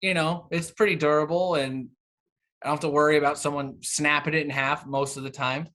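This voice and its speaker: Bright-voiced man in casual conversation. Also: fairly high pitch, steady tone, a little fast. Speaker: interview guest, cassette exchange organiser.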